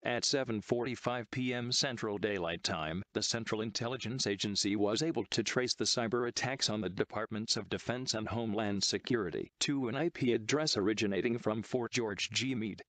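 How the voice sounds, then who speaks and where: Low synthetic male emergency announcer. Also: a bit bright, no room sound. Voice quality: synthetic text-to-speech voice. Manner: formal, impersonal, measured delivery. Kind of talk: scripted emergency broadcast announcement.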